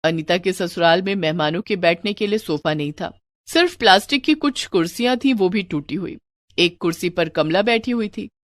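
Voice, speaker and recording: A woman's voice: fairly low pitch, moderate pace, no echo, clean studio sound. A woman narrating a scripted moral story as a storyteller.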